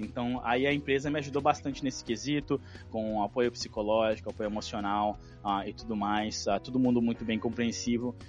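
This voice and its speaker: A Brazilian man in his thirties, a guest in an interview, telling his story in a relaxed way with slang and fillers. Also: mid-range voice, dull audio. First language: Portuguese. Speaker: podcast guest, engineering executive. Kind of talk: casual interview chat.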